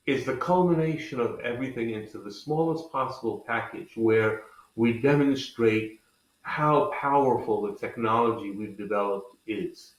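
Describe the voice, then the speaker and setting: A man speaking as an interviewed company president, explaining products conversationally and with enthusiasm. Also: mid-range voice. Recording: echoey, roomy sound.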